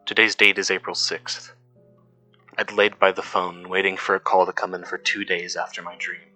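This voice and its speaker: A man acting as a weary male cave worker recording a horror audio log. His voice is low and forceful but stays flat and measured, with somber literary phrasing over instrumental music. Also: poor audio. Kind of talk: scripted first-person horror podcast narration.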